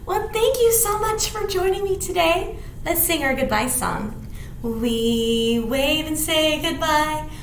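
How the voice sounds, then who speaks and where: A woman with an extremely high voice leads a children's storytime in a roomy, echoing space. She takes her time, stretching words in an exaggerated sing-song as she reads rhymes and picture books. Clear background noise sits behind her. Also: animated, slow. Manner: sing-song, exaggerated, with dramatic gasps and simple explanations for little ones. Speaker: children's storytime presenter at a library. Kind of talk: picture-book read-aloud and rhymes for young children.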